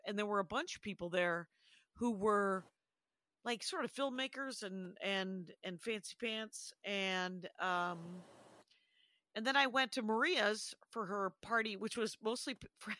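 A woman's voice: medium pitch, normal speed, bright, forceful tone, dry recording. A woman co-hosting a podcast, telling stories in a rambling, self-interrupting way with frequent laughs. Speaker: podcast co-host. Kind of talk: casual chat.

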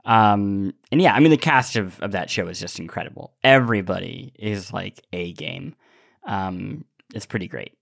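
A man's voice: fairly low pitch, normal speed, creaky, a bit bright, no echo, clean studio sound. A man co-hosting a podcast in casual chat, playful and given to exaggeration. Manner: playful, hyperbolic. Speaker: podcast co-host.

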